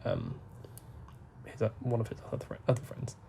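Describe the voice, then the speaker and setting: Young man, hushed rambling gameplay chat, dark gravelly voice. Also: lowish voice, huge pitch swings, slight background noise. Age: young adult. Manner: soft, deliberately hushed talk with frequent fillers. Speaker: gaming YouTuber.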